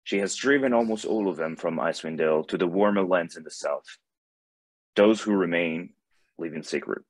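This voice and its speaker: A man playing a solemn, benevolent god of light with a grave, measured delivery in an animated fantasy table read. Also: low voice, dry recording. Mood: grave, narrating.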